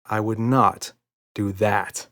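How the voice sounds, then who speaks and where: A man's voice: lowish voice, steady tone, slow, a bit bright. A man voicing a patient, reassuring, playful father in a children's storybook, indulgent and mock-serious. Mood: reluctant.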